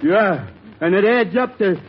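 A man playing a meek, slow-witted husband, hesitant and halting, in a 1940s radio soap opera parody. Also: sits high, expressive. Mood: timid, suspicious. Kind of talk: 1940s radio comedy acting. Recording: dull old radio recording, faint noise.

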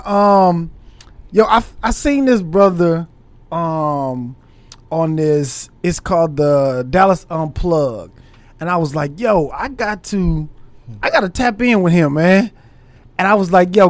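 A man's voice: a little high, no room sound. A man from Los Angeles hosting a podcast, chatting in a laid-back, slangy way and talking straight to his viewers. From Los Angeles, USA. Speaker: podcast host. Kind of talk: casual interview chat.

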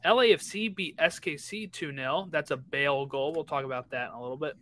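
A man co-hosting a soccer talk show, running through the week's scores one after another. His voice is bright and forceful, and it sits a little high for a man. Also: slight background noise. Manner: rattles through results quickly. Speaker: podcast co-host. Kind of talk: casual sports chat.

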